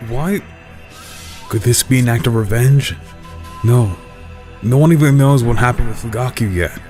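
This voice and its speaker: Resolute young male ninja man acting, audio drama, medium pitch, clear music bed. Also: natural voice. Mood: alarmed, resolute.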